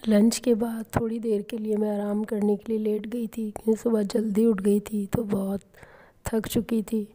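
A middle-aged woman calmly narrating her home cooking and daily routine vlog. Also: medium pitch, moderate pace, poor audio. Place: home kitchen and rooms.

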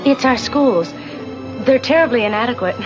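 A woman's voice: on the high side, lively intonation, normal speed, close to an everyday voice. An actress playing a poised, persuasive young American townswoman in a 1960s TV western. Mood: wry, coaxing. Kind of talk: 1960s television western acting. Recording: dull old TV soundtrack with music.

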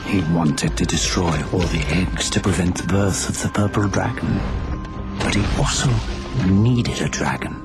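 An actor playing a wise old male dragon mentor, solemn and regretful, telling his tale in measured phrases for a video game. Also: deep voice, loud music behind the voice. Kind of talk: dramatic video game cutscene narration.

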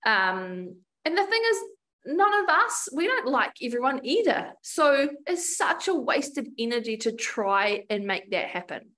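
A woman's voice: on the high side, sing-song, unhurried, sharp tone. A woman in her forties with a New Zealand accent, a business coach talking frankly on a video call interview from a room at home. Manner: chatty, frank, many restarts. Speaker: podcast guest, business coach. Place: home room with door and painting. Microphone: video call webcam.

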